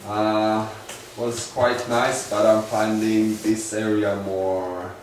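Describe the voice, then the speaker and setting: A man's voice: on the low side, flat delivery, takes it slowly, distant mic, faint music behind. A man from Croatia introducing himself in a group, searching for words and chuckling. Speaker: meetup participant. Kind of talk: casual self-introduction in a group. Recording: echoey room, voice from afar, faint noise.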